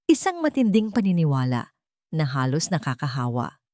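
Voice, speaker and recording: A woman storyteller delivers scripted drama with theatrical, deliberate phrasing. Her voice sits a bit low and moves in animated swoops of pitch. The recording is close and dry. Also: dry recording. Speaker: story narrator.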